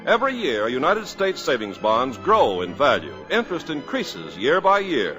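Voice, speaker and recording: A man's voice: mid-range voice, wildly swooping pitch, normal speed, low-quality recording. A man announcing on a 1950s radio program in an emphatic, upbeat manner. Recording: old radio broadcast with faint music.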